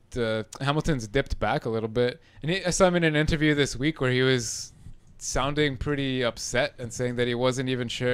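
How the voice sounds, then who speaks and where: A man's voice: medium pitch, smooth. A man co-hosting a casual podcast, talking in a rambling, hedging way with frequent chuckles. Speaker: podcast co-host. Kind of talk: casual chat.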